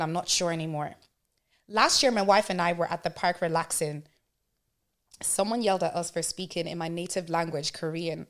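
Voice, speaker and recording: Casual, animated woman podcast host. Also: fairly low pitch. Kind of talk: casual chat, reading stories aloud to friends.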